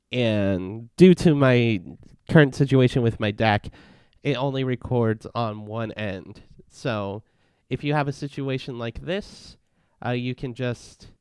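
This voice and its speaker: A man narrating a casual step-by-step software tutorial in a plain, instructional manner. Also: mid-range voice, smooth, no room sound, studio quality.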